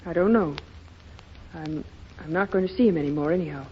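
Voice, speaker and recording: A woman's voice: low-pitched, brisk, lightly acted. An actress playing a worried, self-sacrificing young American woman in a 1930s radio drama. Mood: downcast. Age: young adult. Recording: muffled, hissy 1930s radio recording.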